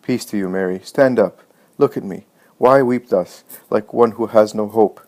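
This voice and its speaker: A man's voice: fairly low pitch, moderate pace, darkish tone, lightly acted. A man reading a compassionate holy teacher in a story, speaking with solemn authority and searching questions. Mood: gentle consoling. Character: compassionate, commanding holy male teacher consoling mourners.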